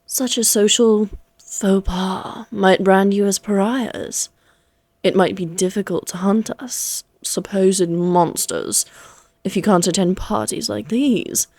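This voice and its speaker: A woman's voice: mid-range voice, steady tone, slow, darkish tone, no room sound. A woman playing an elegant, condescending female vampire in a Victorian fantasy audio drama, speaking in a poised, archly formal, teasing manner. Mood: taunting, amused. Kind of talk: scripted roleplay audio drama monologue.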